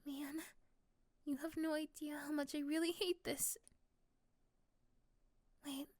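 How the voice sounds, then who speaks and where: Woman acting as a high-voiced, shy young woman in love. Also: little pitch movement, slow pace, darkish tone, no room sound. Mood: uneasy, confiding. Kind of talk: romantic ASMR roleplay acting.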